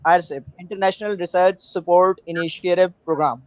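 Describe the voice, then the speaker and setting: A man from Pakistan practicing English in an online group, speaking haltingly with many fillers over a video call. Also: sits high, fairly even intonation. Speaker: participant in conversation group. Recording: dry, dull lo-fi call audio.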